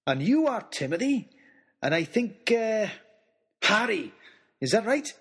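Man voicing a jovial giant old man, booming exclamations, lively, husky tone, storybook audio. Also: unhurried, exaggerated voice. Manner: booming, delighted, gushing exclamations.

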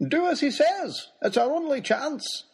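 Casting: male reader as storybook professor, pitched well up, urgent, commanding, deliberate. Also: expressive, full character voice. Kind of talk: storybook character voice.

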